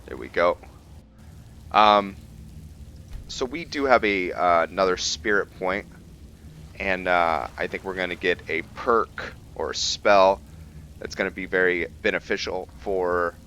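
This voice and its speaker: Slow, low-pitched man with a bright edge. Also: a little hiss or hum. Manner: halting, musing aloud, thinking through his character. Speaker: gamer hosting a roleplay playthrough. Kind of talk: casual gameplay commentary.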